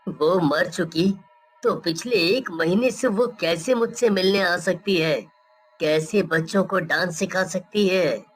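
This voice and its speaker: A man's voice: sits high, slow, faint music behind. A man playing an earnest young dance teacher in a story cartoon, questioning in disbelief. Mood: shaken.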